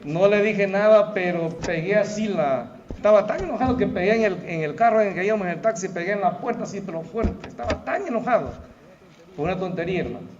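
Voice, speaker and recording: A man's voice: high-pitched, lively intonation, fast, a little mellow, dull audio, a little hiss or hum. A middle-aged man from El Salvador with a Salvadoran Spanish accent, preaching a sermon and talking to the congregation in a conversational way, often calling out to the brethren. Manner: conversational, addresses the congregation as "hermano". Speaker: pastor. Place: church hall.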